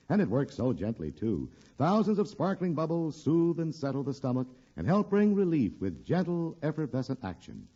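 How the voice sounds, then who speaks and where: A male commercial announcer with a fairly high, very animated delivery reads a scripted old-time radio pitch. His voice is mellow and a little husky, and the recording is dull.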